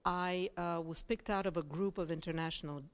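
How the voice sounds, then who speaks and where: A woman's voice: on the low side, fairly even intonation, moderate pace, gravelly. A middle-aged woman from Bulgaria with a Bulgarian accent, a journalism professor and former news anchor, telling her career story to a class with frequent uh and um fillers. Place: college classroom.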